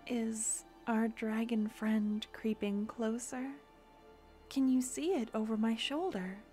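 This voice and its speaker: A woman doing a gentle necromancer girlfriend, soft whisper, unhurried, ASMR roleplay. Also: fairly high pitch, faint music behind. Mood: tender. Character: tender young woman necromancer, loving girlfriend.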